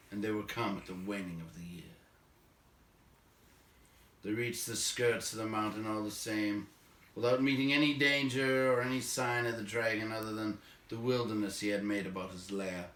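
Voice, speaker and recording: Guy narrating a story out loud, measured, endings trail off soft, roomy echo, sits back from the mic. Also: lowish voice. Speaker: audiobook reader, narrator. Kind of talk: expressive storybook read-aloud narration. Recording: roomy echo, distant microphone.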